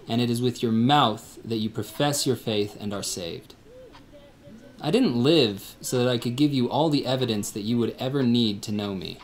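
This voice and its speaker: A man acting in a church radio play, calm and gently teasing. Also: medium pitch, slight background noise.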